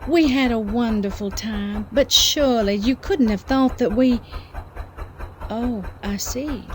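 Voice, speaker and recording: A woman's voice: a little high, slow pace, slight background noise. An actress playing a cold, domineering businesswoman in an audio drama, condescending and cutting. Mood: teasing. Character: haughty, cold, domineering femme fatale businesswoman.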